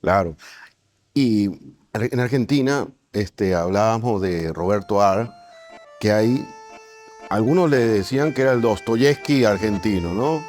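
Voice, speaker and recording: A man's voice: on the low side, animated, unhurried, a little mellow, faint music behind. A man hosting a conversational interview, putting his points in a tentative, meandering way. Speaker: podcast interviewer.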